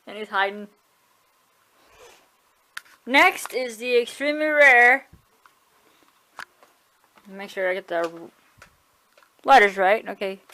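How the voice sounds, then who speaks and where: High-voiced woman giving a casual tour. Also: pushed and bright, slight background noise. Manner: offhand, chatty, corrects herself, shrugs things off. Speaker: weather radio collector showing her collection. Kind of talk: casual home video tour. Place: room at home.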